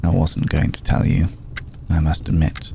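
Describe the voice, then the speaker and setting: A man voicing an ancient, courtly, protective vampire lord in a hushed ASMR roleplay, speaking formally and with deliberate pauses. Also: very deep voice, little pitch movement, vocal fry, slight background noise. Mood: grave, confiding. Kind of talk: ASMR roleplay, slow intimate scripted monologue.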